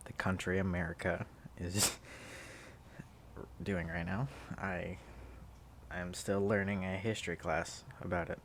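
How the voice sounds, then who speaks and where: A man playing an affectionate, sleepy student in an intimate ASMR roleplay, soft, teasing and halting as he dotes on a pet. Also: low voice, a little hiss or hum. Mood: playful, affectionate. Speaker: audio roleplay performer.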